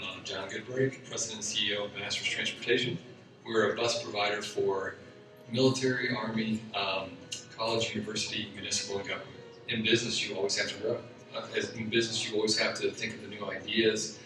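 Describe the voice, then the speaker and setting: Low-voiced businessman, halting delivery, heard from afar over music. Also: steady tone. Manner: plain-spoken, halting with repeats. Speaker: company president. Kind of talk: documentary interview. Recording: echoey, from far off, with music.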